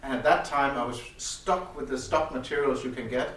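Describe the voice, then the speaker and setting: A man who speaks as a gives a halting technical explanation of audio gear as its designer. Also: medium pitch, steady tone, fairly bright tone. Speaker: loudspeaker designer presenting gear at an audio show. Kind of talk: technical product explanation in conversation. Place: indoor demo room at an audio show. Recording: echoey room, distant mic, faint noise.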